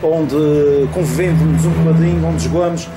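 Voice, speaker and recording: A man's voice: on the high side, fairly even intonation, moderate pace. A man with an Azorean Portuguese accent, interviewing locals in a small café, chatty and teasing. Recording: echoey room with music behind.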